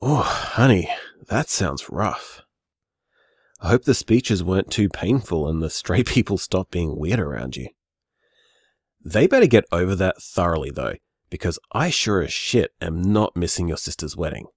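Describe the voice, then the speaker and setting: Audio drama role: easygoing, loving bar owner. Warm, rambling, animated delivery, dry close sound. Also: male voice, sits low, a little slow, breathy edge, no echo, full character voice. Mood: sympathetic, loving. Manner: warm, teasing, rambling reassurance. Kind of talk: audio drama voicemail acting, naturalistic and conversational.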